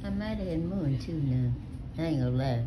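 An elderly American woman telling stories casually to family, with a salty, animated manner, recorded on a phone at home. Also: low voice, fairly quick, a little hiss or hum, rough recording. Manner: animated, salty, acts out dialogue with swearing. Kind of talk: casual storytelling among family. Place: small living room at home. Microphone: phone held near her.

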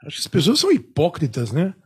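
A man from Salvador, chatting on a podcast about films and ranting in a lively, slangy, profane way. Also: a little high, quick pace, husky. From Salvador, Bahia, Brazil. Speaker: film critic, podcast panelist. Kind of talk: casual podcast chat.

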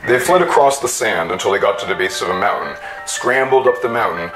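A man with a fairly low voice reads a story aloud. His delivery is theatrical, with deliberate emphasis, and faint music plays behind him. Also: lively intonation, low-quality recording. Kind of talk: dramatic story reading. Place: small indoor room with bookshelves.

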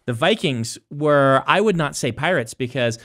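A man's voice: mid-range voice, lively intonation, moderate pace, a bit bright. A man in his forties co-hosting a podcast in casual, rambling chat, correcting himself as he goes, on a studio mic. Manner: rambling, self-correcting, playful. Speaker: podcast co-host. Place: small studio set indoors. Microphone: boom-mounted studio mic.

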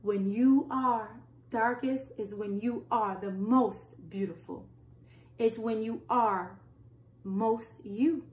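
A woman's voice: on the high side, steady tone, slow, darkish tone. A woman voicing a loving, apologetic daylight sister spirit in a storybook, earnest and reassuring. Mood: tender. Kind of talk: storybook read-aloud. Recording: roomy, echoing home recording.

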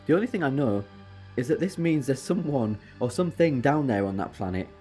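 A woman playing a brisk, eccentric, exasperated time-travelling alien adventurer in a sci-fi audio drama, with a teasing, emphatic manner. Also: rumbling low, expressive, dark tone, faint music behind. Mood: brisk, puzzled.

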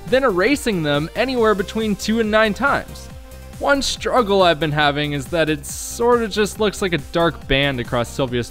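A young man narrates a scripted vlog in a fairly high voice. His delivery is lively and wry, poking fun at himself. Music plays behind him. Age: young adult. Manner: wry, self-deprecating humour. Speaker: video creator and game developer.

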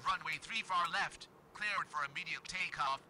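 Sharp-voiced man playing airline pilot on radio. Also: fairly high pitch, moderate pace. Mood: businesslike. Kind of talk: clipped radio readback. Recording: sharp, faintly noisy radio audio.

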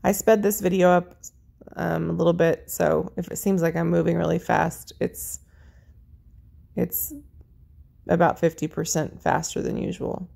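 A woman narrating her paint pouring video in a chatty, friendly way, her voice a little raspy. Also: on the low side, little pitch movement, unhurried, long pauses, darkish tone. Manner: chatty, friendly, lists colors as she works. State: slightly raspy voice. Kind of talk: casual how-to narration over craft footage.

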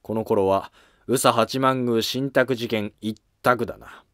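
Man playing a dry, measured court scholar. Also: medium pitch, fairly even intonation, a bit bright, no echo. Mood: matter-of-fact. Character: dry, driven male court scholar compiling a history. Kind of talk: scripted historical drama dialogue.

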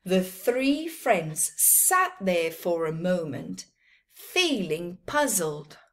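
A middle-aged woman reading a picture book to children, expressively and with dramatic emphasis. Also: lowish voice. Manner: expressive, slow deliberate storytelling with dramatic emphasis. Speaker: children's storytime reader. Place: small plain room indoors.

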